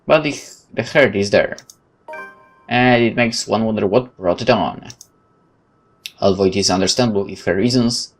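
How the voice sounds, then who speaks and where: A man hosting a gaming video, expressively reading out game text and adding his own commentary. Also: lowish voice. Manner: expressive, reads game text aloud with interjections. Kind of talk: let's play narration and commentary.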